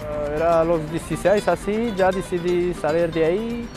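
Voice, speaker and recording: A man in his twenties from Morocco giving casual, halting testimony as an interviewee. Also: high voice. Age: 20s. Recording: music behind the voice.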